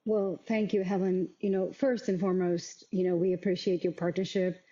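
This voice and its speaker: A middle-aged woman from Africa, telling her story as an interview guest in a thoughtful, halting way with many repeated words. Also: on the low side, little pitch movement, mellow. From South Africa. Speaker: guest, arts nonprofit vice president. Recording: dull, muffled lo-fi sound.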